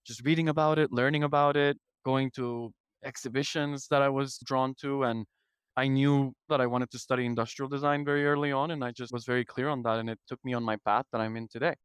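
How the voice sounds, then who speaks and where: A man's voice: medium pitch, fairly even intonation, a little fast, smooth, dry recording. A man in his thirties from Ecuador with an Ecuadorian accent, a podcast guest answering questions in a thoughtful, articulate way. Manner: thoughtful, articulate, lists ideas in sequence. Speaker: podcast guest, product executive. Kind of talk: conversational interview answers.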